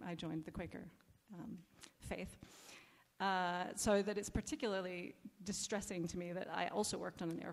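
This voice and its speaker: Quiet-voiced woman from the American South. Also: lowish voice, gravelly. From southern United States. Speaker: panelist. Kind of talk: panel self-introduction. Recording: reverberant hall with faint noise.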